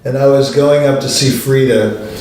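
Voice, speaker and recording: An older man, a pianist hosting a show, telling anecdotes in a relaxed, conversational way with many fillers. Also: mid-range voice, moderate pace, a little hiss or hum. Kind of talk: storytelling monologue. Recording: distant mic in a very echoey room.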